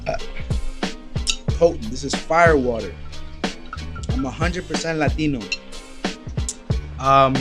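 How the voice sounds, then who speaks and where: A young man, an artist, talking casually about his work in a documentary interview, relaxed and swearing freely. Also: medium pitch, slow, pauses often, music in the background. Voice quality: laid-back, swears often, says "bro" and "like".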